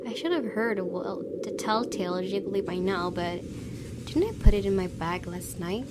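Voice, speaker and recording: A woman's voice: mid-range voice, normal speed, heavy background noise. A woman reading visual novel narration aloud expressively as a gaming video host. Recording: clear music under the voice.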